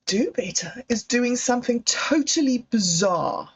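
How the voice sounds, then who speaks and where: A middle-aged woman hosting a livestream, talking in a halting, reflective way with restarts and apologies. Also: a little high, lively intonation, slightly rough. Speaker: livestream host. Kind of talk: rambling personal livestream talk.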